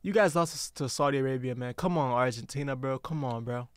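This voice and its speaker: A young man from the US East Coast reacting to a music video on his home stream, excitable and rambling, saying "bro" after nearly every phrase. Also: mid-range voice, fairly quick, clean tone, no echo. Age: young adult. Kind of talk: casual reaction commentary. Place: home streaming room, indoors. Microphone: headset with desk mic close up. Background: faint song playing.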